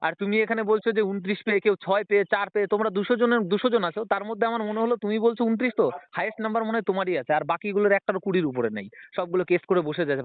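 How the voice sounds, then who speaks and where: A man's voice: high-pitched, no room sound. A young man talks insistently on a phone call, repeating himself and pleading his point. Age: young adult. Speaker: job candidate calling on the phone. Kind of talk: argumentative phone conversation. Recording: tinny, band-limited phone line.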